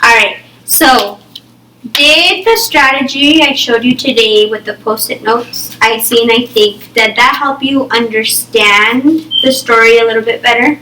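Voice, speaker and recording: A young woman leads a small reading group through a story, thinking aloud and tagging almost every point with "okay?" or "right?". Her voice is bright and sits a little high. She is picked up from a distance in an echoey classroom. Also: unhurried, slight background noise. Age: young adult. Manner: checks in with "okay?" and "right?" constantly. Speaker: teacher. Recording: echoey room, far from the mic.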